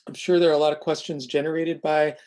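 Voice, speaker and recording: A man with a moderately high voice gives an informal software demonstration. He speaks haltingly, working out his points as he goes. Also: level tone. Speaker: presenter of a software demo. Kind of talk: informal online demo presentation.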